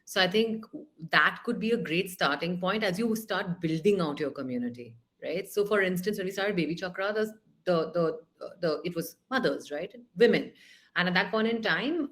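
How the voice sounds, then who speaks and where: A woman, a founder speaking on a panel over a video call, animated and thinking aloud with frequent restarts. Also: lowish voice, normal speed. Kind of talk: panel discussion.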